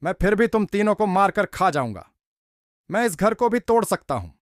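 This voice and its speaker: A man's voice: sits high, very animated, normal speed, dry recording, studio quality. A man voicing a greedy, hungry cartoon wolf in a children's story, with a menacing, taunting manner. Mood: threatening. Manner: menacing, taunting, repetitive coaxing.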